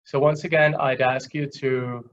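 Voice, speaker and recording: A man with an Austrian accent giving a webinar lecture, explaining with simple examples in a halting, conversational way. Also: mid-range voice, level tone, a little hiss or hum. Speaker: guest lecturer. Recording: dull, lo-fi online call audio.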